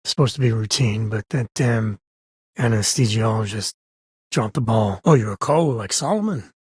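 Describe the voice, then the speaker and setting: An actor playing a rugged, wry space-frontier explorer and caring father in a video game, with a husky, raspy voice and folksy, conversational phrasing. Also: lowish voice, lively intonation, moderate pace, a little mellow, no room sound, clean studio sound. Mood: casual, wry. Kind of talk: video game companion dialogue.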